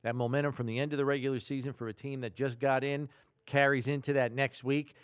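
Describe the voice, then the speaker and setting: A man hosting a sports podcast, explaining football scenarios in a measured, deliberate way. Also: mid-range voice, flat delivery, clear, resonant tone, no room sound, studio quality. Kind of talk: football analysis talk.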